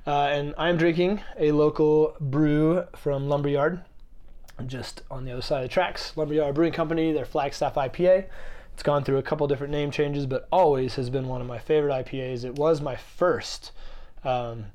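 A young adult man co-hosting a podcast, slightly raspy while getting over a cold, talking in a rambling, joking way with lots of fillers. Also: fairly high pitch, steady tone, moderate pace, dark tone. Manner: rambling, jokey, many fillers. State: recovering from a cold. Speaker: podcast co-host. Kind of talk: casual chat.

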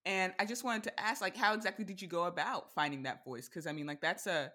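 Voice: medium pitch, fast talker, pushed and bright. A podcast host who reads a guest's intro and then chats in an upbeat, giggly way. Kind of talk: casual interview hosting.

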